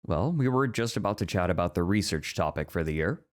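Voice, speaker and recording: A man playing a bookish, earnest, easily flustered professor in an ASMR roleplay, with a measured, articulate and slightly stuffy way of talking. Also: low voice, animated. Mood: earnest, dry.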